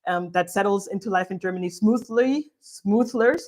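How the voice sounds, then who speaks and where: A woman's voice: medium pitch, moderate pace, a little mellow. A woman from Guatemala co-hosting a livestream, reading out viewer questions in a bubbly, laugh-filled way. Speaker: co-host.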